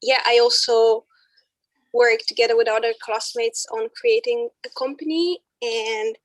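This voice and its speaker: A woman's voice: fairly high pitch, fairly even intonation, a little slow, dry recording, poor audio. A young woman from Romania, a student introducing herself in an online workshop, chatty and searching for words. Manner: chatty and searching for words, with frequent yeah fillers.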